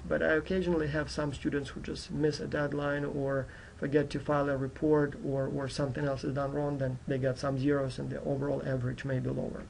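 A man's voice: on the high side, gravelly, a little mellow, slight background noise, low-quality recording. A man who is a non-native English speaker, giving an informal, explanatory course introduction as a university instructor. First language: not English, which is his fourth language. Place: indoors at a computer.

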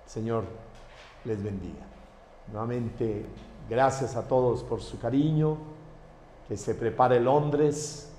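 A man from Colombia, a priest saying mass prayers and giving parish notices in a warm pastoral way. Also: mid-range voice. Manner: warm pastoral address to the faithful. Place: church. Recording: echoey church hall with faint background noise.

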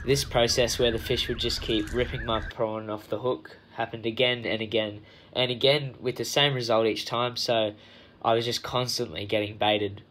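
A man's voice: mid-range voice, steady tone, normal speed, few pauses, fairly bright tone, a little hiss or hum, poor audio. A young man with an Australian accent reading a scripted voice-over narration for a vlog.

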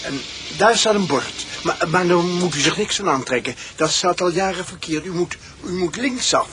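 An actor playing an unhinged, obsessive petrol station attendant in a radio play, speaking in a halting, repetitive way. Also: male voice, high voice, animated. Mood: hesitant, polite. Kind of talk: Dutch radio play acting. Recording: noisy old radio play recording.

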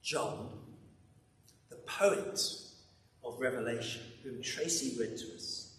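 An older man delivers a sermon from a lectern in a big, reverberant church. His pace is very slow and dramatic, with swings between forceful and hushed phrases. He sounds set back from the mic, with some noise around him. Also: on the high side. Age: 60s or older. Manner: dramatic, swelling to loud then dropping very quiet. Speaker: preacher. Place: large church interior, at lectern. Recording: roomy echoing church, distant, background noise.

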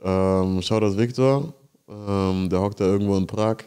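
A man's voice: on the low side, measured pace, warm tone, clean tone. A man telling stories in a laid-back casual chat, full of slang and English words.

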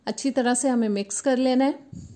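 A woman, host of a cooking channel, calmly explaining a recipe step by step in voice-over. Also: on the high side, moderate pace. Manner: steady step-by-step instructional tone.

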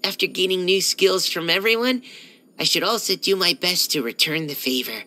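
A timid, well-mannered young male knight from a video game. His voice is bright and youthful, with a crisp, forward edge. He speaks with earnest, hesitant courtesy, always eager to please. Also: high voice, moderate pace. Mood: admiring, eager. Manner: hesitant, soft-spoken politeness, eager to please. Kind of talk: scripted video game character lines.

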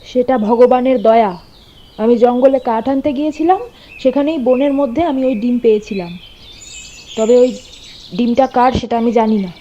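A woman's voice: fairly high pitch, normal speed, long pauses, dark tone, dull audio, lightly acted. A woman playing a protective, fiery homemaker in a doll-story drama, speaking theatrically and emphatically. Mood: earnest.

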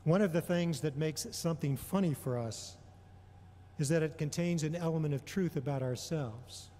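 A middle-aged man delivering a prepared homily in a measured, deliberate way, pausing often between phrases. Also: a little high, mellow, a little hiss or hum. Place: large indoor church or hall. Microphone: gooseneck microphone on a lectern. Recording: long reverberation of a large hall.

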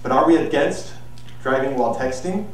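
A young man giving an earnest, measured persuasive speech on an auditorium stage, heard from a far camera. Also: mid-range voice. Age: young adult. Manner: earnest, measured, with some restarts. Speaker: student speaker. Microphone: far camera, no visible mic. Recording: very echoey hall, distant mic, faint noise.

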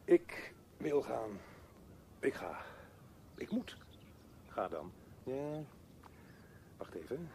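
A man's voice: mid-range voice, sing-song, very slow, creaky, pauses often, dark tone, a little hiss or hum, heavily acted. An actor playing a brooding, restless man thinking aloud in a radio drama, with theatrical, broken phrasing. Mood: restless, urging himself.

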